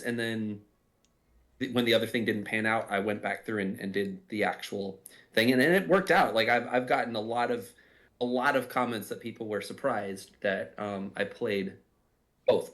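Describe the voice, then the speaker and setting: A man's voice: fairly low pitch, a bit bright. Reflective man explaining his creative work. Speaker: audio drama writer and voice actor. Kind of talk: casual behind-the-scenes commentary.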